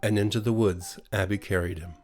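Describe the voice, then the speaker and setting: A man's voice: on the low side, fairly even intonation, a little fast, a little mellow, soft background music. A man with an American accent narrating a scripted bedtime horror story as a storyteller. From USA.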